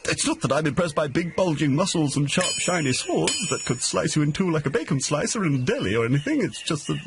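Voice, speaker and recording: A man's voice: a little high, big pitch swings, a little fast, background noise. A man playing a timid, cowardly armoured knight in an adventure game, hesitant, stammering and over-polite. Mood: anxious dithering. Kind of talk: cartoonish adventure game voice acting. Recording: music behind the voice.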